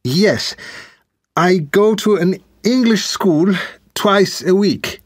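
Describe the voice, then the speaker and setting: A man from Switzerland playing a student in a speaking test, answering slowly with small hesitations. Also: high voice, dry recording, studio quality. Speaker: exam candidate. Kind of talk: scripted exam answers.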